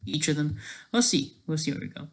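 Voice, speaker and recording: Casting: amateur vlogger, medium pitch, rambling unscripted commentary, restarts sentences and checks in with "right?". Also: animated, fairly quick, a bit bright. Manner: tags statements with "right?"; rambling, trails off mid-sentence. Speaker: amateur video creator.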